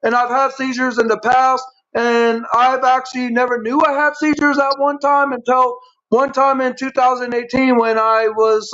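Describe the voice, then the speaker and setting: Very high-pitched, stuttering male guest. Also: a bit bright. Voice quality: stuttering speech impairment. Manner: halting, repetitive, long-winded. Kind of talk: personal explanation on a talk show. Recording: dull, lo-fi stream audio.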